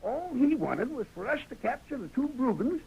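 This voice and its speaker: Cantankerous old American prospector for vintage radio western, very high, swooping voice; folksy dialect, excitable. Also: male voice, moderate pace, gravelly, breathy edge, phone-quality sound, full character voice. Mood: puzzled, marveling. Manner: folksy, excitable frontier drawl full of dialect. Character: cantankerous, loyal old prospector in the Wild West. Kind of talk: 1930s radio western acting. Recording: vintage 1930s radio broadcast.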